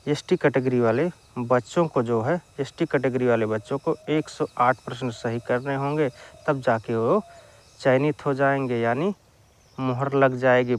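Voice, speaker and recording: A man giving an informal explainer to viewers, repeating his phrases for emphasis. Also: mid-range voice. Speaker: exam-news YouTuber.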